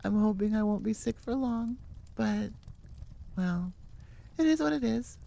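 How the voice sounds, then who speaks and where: A woman's voice: mid-range voice, animated, unhurried, creaky, mellow. A woman who is sick, chatting casually to her listeners in a playful, rambling, self-deprecating way as an audio creator. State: sick with a cold or similar illness.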